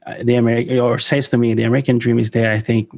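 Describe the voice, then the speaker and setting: Venezuelan-born man, halting podcast guest. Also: medium pitch, flat delivery, vocal fry, a little mellow. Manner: halting, many fillers and restarts, laughs often. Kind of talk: casual interview talk.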